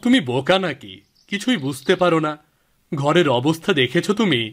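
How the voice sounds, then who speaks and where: Guy doing a practical village husband in a cartoon story, kinda high voice, good natured, music behind. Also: lively intonation, moderate pace, fairly bright tone. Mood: explaining. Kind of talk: scripted cartoon dialogue in a Bengali folk tale.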